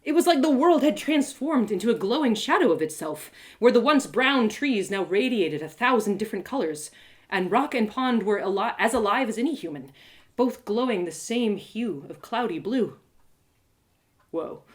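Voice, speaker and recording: A woman storyteller narrates a first-person tale in a live reading. Her pitch moves in wide, animated swings, and her delivery is dramatic and expressive. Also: normal speed. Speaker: author and storyteller.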